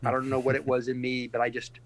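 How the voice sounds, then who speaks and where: A middle-aged man from California and Texas, a pastor speaking earnestly and reflectively in a casual podcast interview, pausing often and restarting his sentences. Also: medium pitch, monotone, background noise. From California and Texas, USA.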